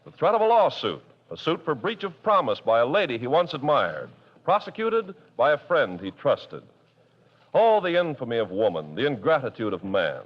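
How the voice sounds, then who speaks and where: A man announcing a 1940s radio comedy in a polished, theatrical way. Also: medium pitch. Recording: dull lo-fi 1940s radio broadcast.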